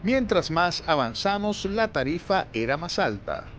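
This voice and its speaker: A man narrates a news report in a measured, formal reading. His voice sits somewhat high. A faint hiss of background noise runs under the voice-over. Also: sing-song, a bit bright.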